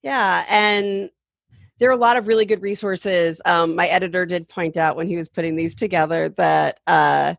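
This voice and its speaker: Creaky-voiced woman in a casual tech chat. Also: on the low side, fairly even intonation, moderate pace, dry recording, clean studio sound. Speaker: podcast guest.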